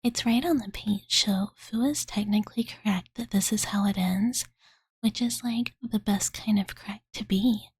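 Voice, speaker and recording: A woman voicing an affectionate young dragon girl in a soft, breathy ASMR whisper, close to the mic, with a casual, cutesy way of talking. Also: medium pitch, moderate pace, no echo. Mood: smug, teasing. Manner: cozy, cutesy, casual, filler-laden, doting. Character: affectionate, possessive young dragon girl telling bedtime story. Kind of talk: ASMR roleplay. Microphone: close studio condenser mic.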